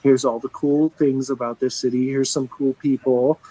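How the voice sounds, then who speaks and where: A man's voice: mid-range voice, little pitch movement, moderate pace, mellow, rough recording. A man in his forties talking on a live show in a rambling, earnest way, often restarting sentences and swearing casually. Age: 40s. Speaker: livestream show regular.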